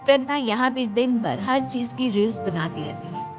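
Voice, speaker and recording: A woman storyteller narrates a scripted tale in a fairly high voice. Her delivery is lively and varied in pitch. Loud music runs behind slightly dull audio. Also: moderate pace.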